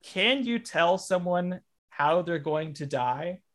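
A man with a somewhat high-pitched voice hosts a loose comedy chat. He rambles and hesitates as he works his way toward each question. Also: animated, slow, fairly bright tone. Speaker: podcast host and interviewer. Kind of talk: casual improvised comedy chat.

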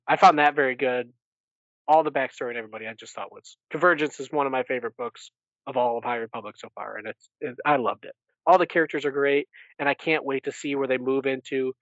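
A man hosts a casual book discussion in a mid-pitched voice. He rambles enthusiastically and slips into little acted-out asides as he retells. The sound is dry and a bit dull. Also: a bit bright, dry recording. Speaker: podcast host.

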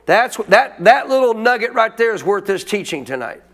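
A man with a high-pitched voice preaching a sermon. He teaches as a pastor in a loose, colloquial way. He keeps prompting the congregation to answer back. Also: sing-song, normal speed, a bit bright. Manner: call-and-response preaching, colloquial.

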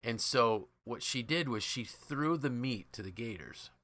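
Bright-voiced man explaining in conversation. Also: mid-range voice, lively intonation, measured pace, no echo. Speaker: podcast host.

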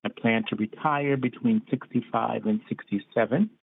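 A man's voice: medium pitch, steady tone, moderate pace, vocal fry, slight background noise. A man in his sixties calling in to a radio show, reading out his numbers methodically. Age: 60s. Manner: reads out figures methodically. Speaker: caller. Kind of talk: phone-in caller. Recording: tinny phone line.

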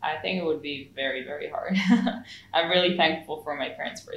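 A woman's voice: low-pitched, normal speed, fairly bright tone. A young woman, a saxophonist speaking English as a second language, chatting casually in an interview with frequent fillers and laughs. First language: not English. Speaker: saxophonist and music producer. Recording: echoey room with faint noise.

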